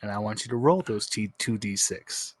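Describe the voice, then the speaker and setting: A man's voice: lowish voice, moderate pace, a little hiss or hum. A man narrating as game master in a tabletop roleplaying game, improvising with emphatic repeats.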